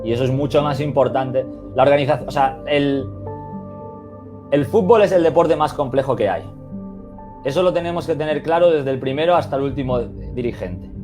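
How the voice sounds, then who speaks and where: A man's voice: medium pitch, normal speed, music in the background. A man with a Spain Spanish accent, a football coach answering interview questions over a video call, reflective and emphatic, with many restarts and "eh" fillers.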